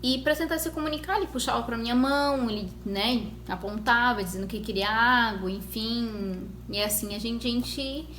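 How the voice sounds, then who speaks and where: A young woman telling her story as a mother in a casual vlog, talking in a conversational way with many pauses and restarts. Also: fairly high pitch, fairly bright tone. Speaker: mother sharing her experience. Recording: echoey room with faint noise.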